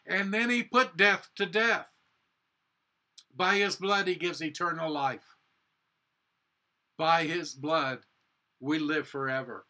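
Slow, bright-voiced older male pastor. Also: on the high side. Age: 60s. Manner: earnest, deliberate, with short measured phrases. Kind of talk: sermon and prayer. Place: indoor room with a cross, small chapel or office.

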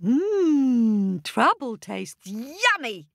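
A woman's voice: on the high side, sing-song, extremely slow, vocal fry, no room sound, studio quality, voice pushed up, exaggerated voice. A woman voicing a greedy, curious little male monkey who hoots and stammers in a storybook tale. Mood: delighted. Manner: hooting and stammering, squealing with delight.

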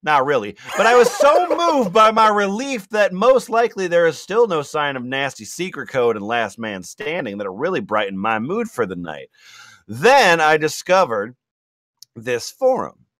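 Guy reading stuff out for laughs, kinda halting and amused, voice jumps around a lot. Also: on the high side, very animated, fairly bright tone, a little hiss or hum. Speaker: reader and host on a comedy podcast. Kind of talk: comedic read-aloud of forum posts with casual asides.

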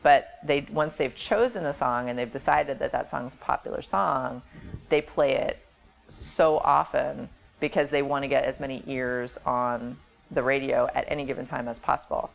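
A woman's voice: very deep voice, moderate pace, vocal fry, poor audio. A woman in her forties explaining things conversationally as an interview guest, recorded indoors on a lapel mic. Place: small office indoors. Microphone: clip-on lapel mic.

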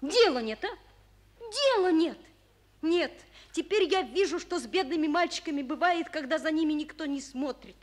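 A woman's voice: high-pitched, animated, normal speed. A woman playing an earnest, compassionate, plucky small boy in a 1940s radio play. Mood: determined.

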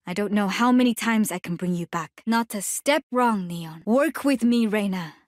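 A woman voicing a serene, compassionate young healer in a video game, with measured, formal phrasing and a gently firm manner. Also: mid-range voice, dry recording, clean studio sound, lightly acted. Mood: gentle caution. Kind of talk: scripted video game voice lines.

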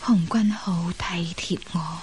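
An actress playing a reflective woman who recounts her married past in a measured radio drama style. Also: lowish voice, steady tone, a little mellow, slight background noise, rough recording. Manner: unhurried, measured recollection. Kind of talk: Cantonese radio drama storytelling.

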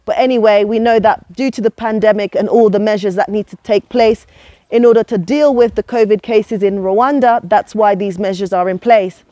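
A woman's voice: mid-range voice, steady tone, normal speed, mellow, no room sound. A woman in her thirties, talking casually and persuasively to viewers while walking outdoors with a lapel mic. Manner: chatty, persuasive, addresses viewers as guys. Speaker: vlogger. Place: outdoors on a quiet suburban street. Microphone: clip-on lapel mic.

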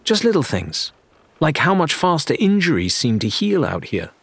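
Man acting a scornful, husky pirate woman. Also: on the high side, sing-song, normal speed, no room sound, voice pushed up, full character voice. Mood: insistent. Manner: indignant, disdainful. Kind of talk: audiobook character dialogue.

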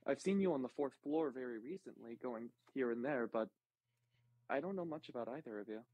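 A man's voice: medium pitch, lively intonation, a little fast, no room sound. A man playing a tabletop roleplay game in casual talk, on slightly muffled voice-call audio. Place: online voice call.

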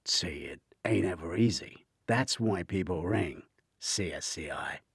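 A man playing a gruff agency boss, low-voiced. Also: animated, unhurried, no room sound, studio quality, full character voice. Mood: curt, firm. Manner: curt, tough, folksy negotiating. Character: gruff, hard-bargaining male crime agency boss.

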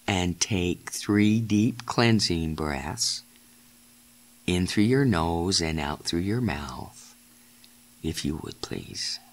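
A man leading a guided meditation in deliberate, halting phrases, giving gentle step-by-step instructions as a narrator of guided imagery. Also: sits low, expressive.

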